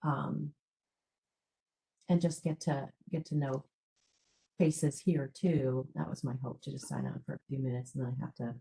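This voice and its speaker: A woman joining an online meeting, apologetic and hurried because she has to leave soon. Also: a bass voice, fairly even intonation, gravelly, warm tone, rough recording. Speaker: meeting attendee.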